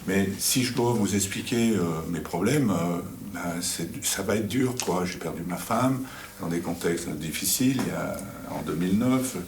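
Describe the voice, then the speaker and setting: Middle aged guy talking round a table, very low voice, kinda far from the mic in an echoey room, stumbles over words. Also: gravelly. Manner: halting, repeats words, self-assured. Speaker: retreat participant. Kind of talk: sharing in a group circle. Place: indoor room around a table. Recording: roomy, distant mic, faint noise.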